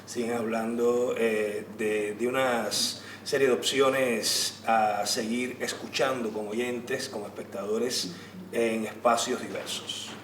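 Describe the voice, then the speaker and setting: A man gives an unscripted panel answer as a theater practitioner, stressing points through repeated phrases and pauses. He is some way from the mic, with room echo and clear background noise. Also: medium pitch, level tone, fairly bright tone, far from the mic. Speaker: theater actor and director on a panel. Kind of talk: spontaneous answer at a public talk. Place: indoor theater venue during a public discussion.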